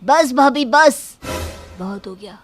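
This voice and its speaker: A woman's voice: high voice, lively intonation, takes it slowly, a little hiss or hum. A woman playing an indignant, reproachful young husband in a family moral story. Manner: reproachful, protesting. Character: indignant young husband defending his wife.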